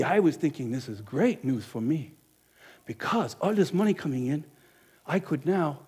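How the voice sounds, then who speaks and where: A man acting out a middle-aged innkeeper in a dramatic first-person monologue, emphatic and animated, swinging between loud and hushed. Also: a little high, breathy edge. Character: middle-aged male innkeeper, money-minded and guilt-ridden. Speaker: actor.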